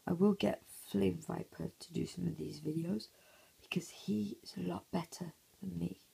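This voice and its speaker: A man with a hoarse, croaky voice, half-whispering casual commentary over a mobile game at home early in the morning, recorded on a phone. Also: sits high, fairly even intonation, moderate pace, mellow. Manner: half-whispering to avoid waking sleepers. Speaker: gameplay commentator.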